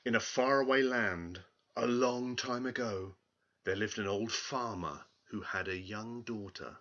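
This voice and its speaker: A man telling a fairy tale aloud in a theatrical, deliberate, expressive way. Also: fairly low pitch, highs cut off. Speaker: storyteller.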